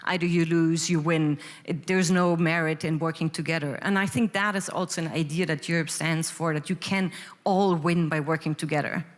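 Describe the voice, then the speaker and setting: A woman's voice: low-pitched, steady tone, normal speed. A woman speaking as a conference panelist, earnest and emphatic. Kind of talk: panel discussion remarks. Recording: long reverb of a large hall.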